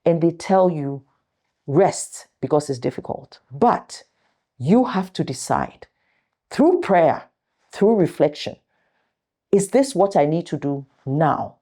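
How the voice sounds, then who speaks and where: Motivational speaker, woman, middle-aged. Low, warm dark voice, deliberate delivery, emphatic pauses. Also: animated. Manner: deliberate, emphatic, pausing between short phrases. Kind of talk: motivational talk to an audience of viewers.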